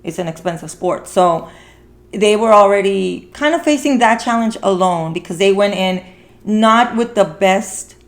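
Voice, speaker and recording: A woman's voice: medium pitch, lively intonation, unhurried. Earnest woman hosting a casual podcast. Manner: earnest, encouraging, repeats phrases for emphasis. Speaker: podcast host. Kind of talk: casual podcast conversation about a film.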